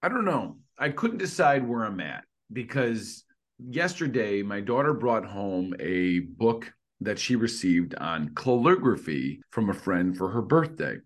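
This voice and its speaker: A man's voice: lowish voice, sing-song, a little slow. A middle-aged man co-hosting a casual discussion, musing tentatively with lots of fillers. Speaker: podcast co-host.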